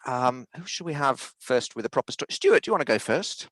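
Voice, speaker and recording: A man's voice: mid-range voice, lively intonation, brisk, a bit bright, dry recording. A man hosting a casual group chat, encouraging others and joking. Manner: jokey, encouraging. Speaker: host.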